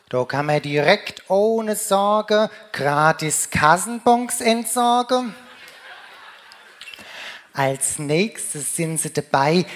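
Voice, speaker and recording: A woman with a Rhine-Hessian German accent delivering a humorous carnival speech in dialect, declaimed in sing-song rhyming couplets. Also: lowish voice. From Germany, Rheingau region. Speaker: carnival speaker.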